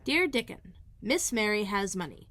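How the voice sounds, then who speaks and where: A woman's voice: medium pitch, very animated, moderate pace, sharp tone, a little hiss or hum. A woman voicing a friendly, helpful young housemaid with old-fashioned rustic phrasing in a storybook.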